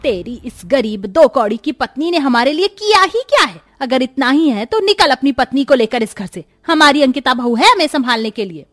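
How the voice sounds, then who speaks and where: A woman's voice: sits high, expressive, moderate pace, few pauses, a bit bright, no echo, studio quality. A woman voicing a greedy middle-aged mother-in-law in a moral cartoon story, with a melodramatic delivery. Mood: indignant.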